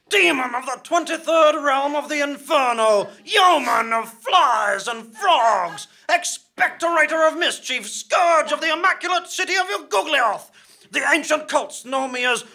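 A showy demon of the Inferno played by a man in a comic stage audio drama. The voice is high and bright, pompous in its proclamations, then suddenly chatty. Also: heavily acted. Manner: grand proclamations dropping into casual chat. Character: chatty, grandiose, theatrical male demon of the Inferno.

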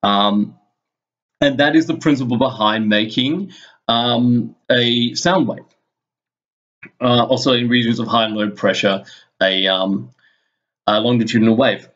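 A man teaching an informal screen-recorded lesson, explaining step by step in a halting way and thinking aloud. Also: medium pitch, steady tone, fairly bright tone, lo-fi sound. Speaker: physics teacher. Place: indoors, screen-recorded voice-over at a computer.

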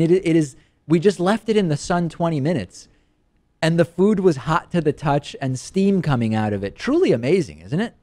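A man's voice: fairly high pitch, mellow, dry recording. Upbeat man hosting a studio show. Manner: enthusiastic, teasing his co-host. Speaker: show host. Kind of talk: casual product review chat. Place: TV studio set. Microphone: studio mic on a stand.

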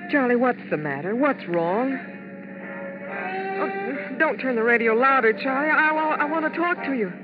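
An actress playing a frightened, clinging showgirl wife in a 1950s radio drama, halting and pleading. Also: high voice, animated. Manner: pleading, halting, repeating herself. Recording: dull 1950s radio broadcast with music.

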